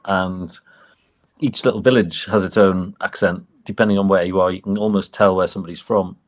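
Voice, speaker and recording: A man's voice: deep voice, fairly even intonation, brisk. A man with a mild Northumbrian accent, a chatty, self-deprecating guest who laughs often as he tells stories. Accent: Northumbrian, mild Geordie. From Northumberland, England. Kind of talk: casual interview chat.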